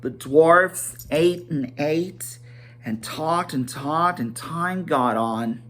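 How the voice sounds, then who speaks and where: A man giving a dramatic storybook reading, halting at times and correcting himself as he narrates. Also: fairly high pitch, a bit bright. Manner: halting, stumbling over words and correcting himself.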